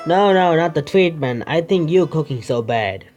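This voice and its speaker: A man doing a game playthrough, reading the narration aloud haltingly and adding his own asides. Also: a little high, lively intonation, darkish tone. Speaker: gaming video creator. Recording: music behind the voice.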